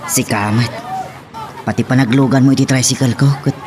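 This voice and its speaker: A man's voice: medium pitch, fairly even intonation, a little fast, crowd noise, background noise, natural voice. A man playing a helpful, friendly tricycle driver in an Ilocano drama, with an Ilocano accent and a casual, persuasive manner.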